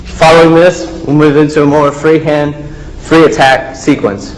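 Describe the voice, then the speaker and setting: A man's voice: on the high side, flat delivery, moderate pace, a little hiss or hum, low-quality recording. A man giving plain, explanatory commentary as he demonstrates martial arts drills as a student presenter.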